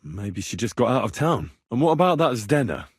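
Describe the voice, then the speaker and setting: A stubborn young investigator in a video game. His voice is slightly rough and moves freely up and down as he probes with earnest, plain-spoken questions. The sound is dry and close, with no room around it. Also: medium pitch, big pitch swings, brisk, dry recording. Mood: questioning. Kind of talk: video game character dialogue.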